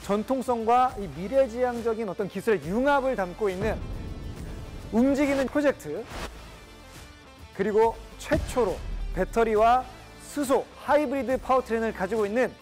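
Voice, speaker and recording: A man's voice: extremely high pitch, normal speed, darkish tone, faint music behind. A man presenting a car show and interviewing a guest in a chatty, enthusiastic, admiring way. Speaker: host interviewing an engineer.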